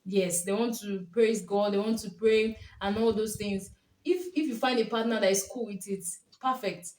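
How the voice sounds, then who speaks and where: A woman's voice: medium pitch, normal speed, a little mellow. A young Nigerian woman with a Nigerian accent, a Yoruba speaker, telling stories and giving casual relationship advice to camera, laughing often. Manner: chatty storytelling, often laughing. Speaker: vlogger and coach. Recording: roomy, from a distance.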